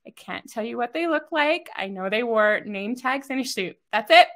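A middle-aged American woman hosting an interview, chatting and telling stories in an animated way with asides. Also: fairly high pitch, normal speed. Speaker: host interviewing a guest. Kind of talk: conversational interview and personal storytelling. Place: home office, over a video call.

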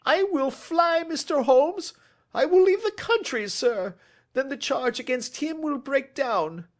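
A man's voice: high-pitched, expressive, a little slow, gravelly, voice pushed up, full character voice. A man voicing a frightened, cringing small-time thief in a detective audiobook, pleading and servile, with a cracking voice. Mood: desperate pleading. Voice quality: cracking, shrieking when desperate. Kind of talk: classic detective audiobook character acting.

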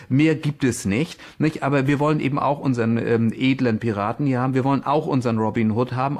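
A man's voice: medium pitch, darkish tone. A man speaking unscripted as a history expert in a documentary interview, explaining things emphatically.

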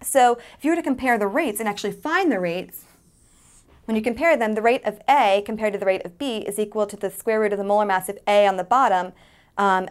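A woman in her thirties teaching chemistry at a whiteboard in a studio, explaining step by step with many fillers. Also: medium pitch. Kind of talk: whiteboard lesson. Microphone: lapel mic.

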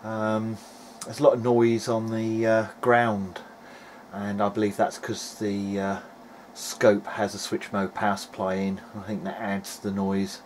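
Low-voiced man thinking aloud, noisy recording. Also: little pitch movement, a little slow, a little mellow. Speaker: hobbyist presenter of an electronics repair video diary. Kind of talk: casual technical narration while demonstrating.

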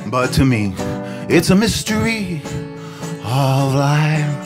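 An older man, a singer-songwriter, singing his own songs into a stand mic on a small cafe stage, with soft, halting spoken introductions between songs. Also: fairly high pitch, very animated. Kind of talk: singing original songs with acoustic guitar. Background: his own acoustic guitar.

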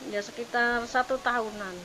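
An older woman with a Javanese accent, chatting haltingly as a local resident answering questions. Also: fairly high pitch, fairly even intonation. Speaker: local resident being interviewed. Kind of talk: casual chat, answering questions. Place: outdoors at a brick house doorway. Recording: noisy outdoor recording with traffic.

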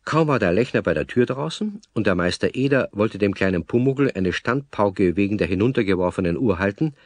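A man with a southern German accent narrating a children's story in an unhurried storyteller's manner. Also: on the low side, expressive, no echo.

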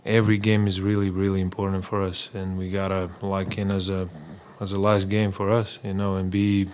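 Low, flat-voiced man at a press conference. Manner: halting, repeats words, many fillers. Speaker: basketball player at a postgame press conference. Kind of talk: postgame press conference answers. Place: small press room. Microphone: table mic in front.

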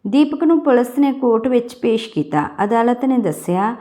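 A woman's voice: fairly high pitch, animated, moderate pace, dark tone. A woman reading a scripted story as an audiobook-style narrator.

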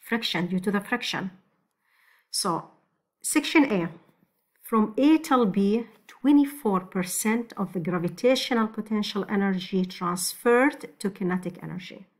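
Slow, careful woman physics tutor. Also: medium pitch, expressive. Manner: slow, step-by-step explaining with restarts. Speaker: physics teacher. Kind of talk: screen-recorded lesson solving exam problems. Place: voice-over on a screen recording.

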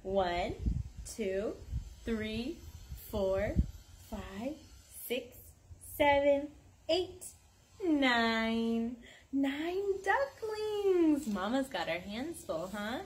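A young woman doing an animated picture-book read-aloud for her toddler, playful, sing-song and encouraging, chatting to the child as she goes. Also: fairly high pitch, very slow, pauses often, a little hiss or hum. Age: young adult. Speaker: mother reading storytime to her toddler. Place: outdoor backyard patio. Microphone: camera a few feet away.

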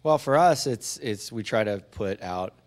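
A man who fronts a rock band talks casually with an interviewer. He speaks into a handheld mic on a studio stage, relaxed and quick to joke. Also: fairly low pitch. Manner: laid-back, joking. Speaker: band singer.

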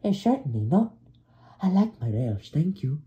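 A man voicing a proud, excitable young male steam engine in a children's storybook, with a huffy, exclamatory manner. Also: a little high, moderate pace, lo-fi sound, pitched above the performer's own voice. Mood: indignant.

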